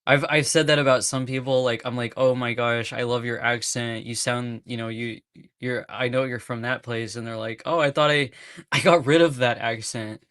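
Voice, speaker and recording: A man's voice: medium pitch, steady tone, normal speed, fairly bright tone, smooth, no echo, clean studio sound. A man from California chatting casually on a livestream, rambling and breaking into nervous laughs. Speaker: streamer.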